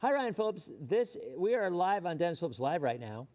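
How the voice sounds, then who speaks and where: A hoarse man hosting a live weather show, chatting casually and answering viewer questions, often repeating words as he thinks. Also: high-pitched, very animated, a little fast, a little mellow.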